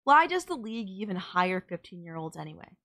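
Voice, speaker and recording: A woman's voice: fairly low pitch, big pitch swings, fairly quick, a bit bright, heavily acted. Woman acting as a bossy, caring villainess. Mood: indignant. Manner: teasing, exasperated, scolding. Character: female villain nemesis, bossy but caring, sardonic. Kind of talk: audio roleplay acting, addressed to the listener.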